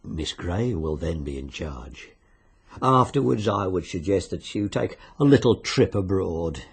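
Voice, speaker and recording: Genial but sinister British fixer, low husky voice, swooping intonation, insinuating radio drama delivery. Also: male voice, animated, a little slow, exaggerated voice. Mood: coolly businesslike. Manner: insinuating, euphemistic, coolly businesslike. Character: sly, genial bookmaker-like fixer arranging deaths by wager.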